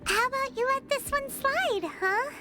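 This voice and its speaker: A woman voicing a small, chatty female creature companion in a video game, speaking in a lively, pleading way. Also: extremely high pitch, unhurried. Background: game music.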